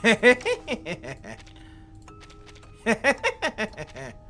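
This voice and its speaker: A man's voice: high voice, wildly swooping pitch, very slow, pushed and bright, soft background music. A man whose first language is Chinese, streaming a game live and talking casually, swearing and exclaiming as he plays.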